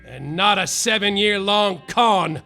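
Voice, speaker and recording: A man's voice: high-pitched, slow pace, creaky, pushed and bright, soft background music, exaggerated voice. A man playing a melodramatic hardboiled private eye. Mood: rambling. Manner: melodramatic, rambling, boastful narration. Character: self-absorbed hardboiled male private detective. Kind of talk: live comedy radio-play acting. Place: live theater stage.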